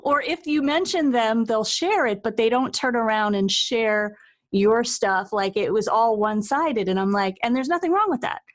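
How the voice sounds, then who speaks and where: A woman's voice: on the high side, moderate pace. A woman hosting an interview and talking in a casual, conversational style. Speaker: interview show host.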